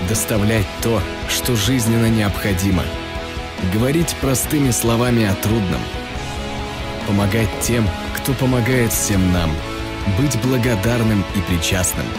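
A calm, measured narrator reading a scripted charity appeal. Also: mid-range voice. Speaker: voice-over for a charity ad. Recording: voice under loud music.

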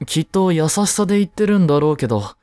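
A man's voice: on the high side, animated, dry recording, clean studio sound, lightly acted. A man playing an easygoing, caring teenage boy, a childhood friend, in a romantic audio drama, speaking casually and reassuringly to the listener. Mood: concerned, supportive. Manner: casual, gently reassuring, slow with pauses.

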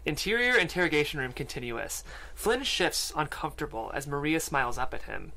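Narrator doing stage directions at a table read, middle-pitched voice. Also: expressive, fairly quick, bright, forceful tone, rough recording. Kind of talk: reading screenplay stage directions aloud. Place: podcast studio.

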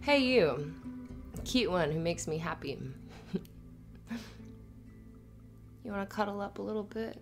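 A woman playing an affectionate, playful girlfriend in an intimate ASMR roleplay, soft-spoken and hesitant. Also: mid-range voice, expressive, measured pace, pauses often, over music. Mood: teasing.

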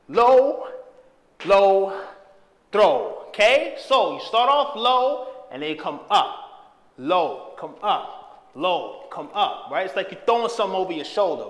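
Young man, dance instructor, high pitch, energetic shouts, roomy reverb, mic at a distance. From Haitian background. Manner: energetic, hypes and shouts while dancing. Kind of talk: dance tutorial, counting and calling moves. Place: empty room with bare walls, indoors. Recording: echoey empty room.

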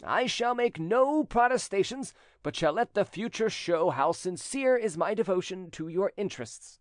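A man's voice: sits high, lively intonation, normal speed, dry recording, clean studio sound, pitched above the performer's own voice. A man voicing a jealous, scheming spurned suitor in a storybook reading, with insistent, theatrical delivery. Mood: contrite, smooth.

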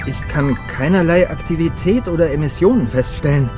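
Radio play casting: male actor as factual, focused space officer, a touch high-pitched, music behind. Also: fairly quick, lightly acted. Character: matter-of-fact male spacecraft technical officer. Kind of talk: German radio drama acting.

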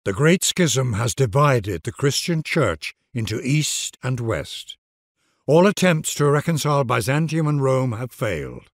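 A man narrating a strategy game scenario in a grave, measured storyteller delivery. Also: mid-range voice, very animated, no echo, studio quality. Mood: solemn. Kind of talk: scripted dramatic narration.

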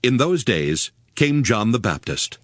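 A man's voice: mid-range voice, very animated, a little slow, fairly bright tone, no room sound, studio quality. A man narrating scripture from a script in a solemn, formal manner.

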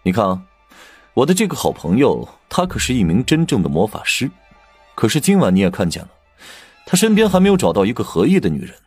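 A man's voice: on the low side, wildly swooping pitch, fast talker, a little mellow, breathy edge, no room sound, exaggerated voice. A man playing a suave, sly, teasing aristocrat in an audio drama, talking playfully. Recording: dry, music behind the voice.

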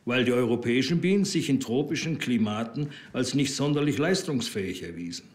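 An actor playing a learned, pedantic bee expert who lectures formally and precisely in a TV comedy. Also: male voice, medium pitch, lively intonation, a little fast, lightly acted. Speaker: actor in a police comedy series. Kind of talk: scripted TV drama dialogue.

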